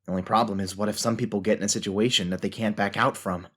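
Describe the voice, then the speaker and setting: A man's voice: on the low side, steady tone, quick pace, natural voice. A man playing a thoughtful, self-doubting teenage novice fighter in an audio drama, earnestly reasoning things out. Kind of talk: fan audio drama acting.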